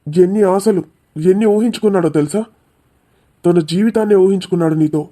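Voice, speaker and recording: A man's voice: sits high, fast talker, warm tone, natural voice. A man voicing an indignant, defensive young man arguing earnestly in a cartoon comedy. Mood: reproachful. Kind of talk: dramatic cartoon comedy dialogue.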